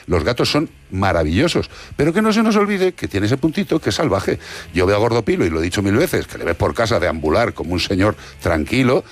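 Husky, lively radio co-host man. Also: fairly low pitch, brisk. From Spain. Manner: animated, joking storyteller. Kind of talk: casual chat.

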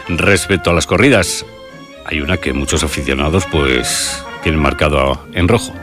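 A man's voice: a bass voice, normal speed, over music. A man with a Castilian Spanish accent presenting scripted local news on the radio.

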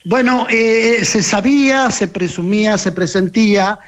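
A man's voice: high voice, steady tone, measured pace. A man with an Argentine accent reporting for a sports radio show, talking in a flowing way with fillers. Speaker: correspondent.

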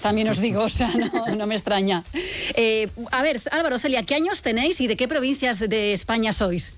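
A woman's voice: a little high, normal speed. A woman with a Castilian Spanish accent hosting a live radio show, talking in a chatty, informal, conversational way.

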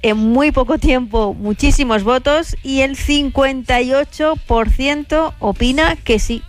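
A woman on sports radio, briskly reporting listener poll results. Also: high voice, soft background music. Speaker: radio presenter reading listener polls.

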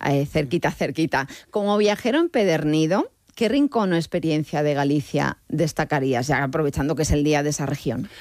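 A woman's voice: deep voice, fairly quick, a little mellow, dry recording, studio quality. A woman hosting a radio travel program, introducing guests and asking friendly questions. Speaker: radio host. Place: studio.

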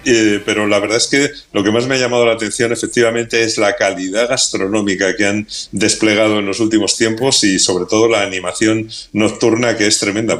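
A man with a fairly low voice talks on a radio travel show. He sounds keen and pauses often with small fillers. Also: a bit bright. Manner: enthusiastic, hesitant fillers. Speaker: travel contributor. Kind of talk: radio chat.

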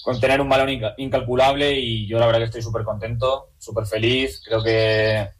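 A man's voice: medium pitch, little pitch movement, brisk, slight background noise, low-quality recording. A young man from Madrid with a Spanish accent from Spain, answering casually as a player in a sports interview, restating his phrases. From Madrid region, Spain. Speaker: athlete, team player.

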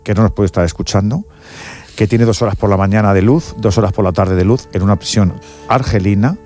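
Guy hosting sports radio, kinda low voice, earnest, keeps restarting sentences. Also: fairly quick, a little mellow, faint music behind. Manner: earnest, emphatic, with many restarts. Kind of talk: live sports radio talk.

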